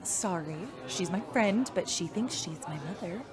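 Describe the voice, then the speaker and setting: An actress playing a friendly, easygoing uploaded human mind, speaking in a casual, chatty way in a sci-fi radio drama. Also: female voice, fairly low pitch, animated, moderate pace, over music, close to an everyday voice. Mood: friendly, candid. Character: friendly, easygoing female uploaded mind running a general store.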